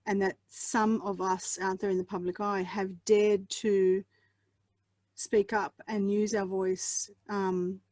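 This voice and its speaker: A woman's voice: medium pitch, little pitch movement, slow pace, darkish tone, dry recording. A woman podcast host who asks long, musing questions and gives frequent small agreements in a casual interview.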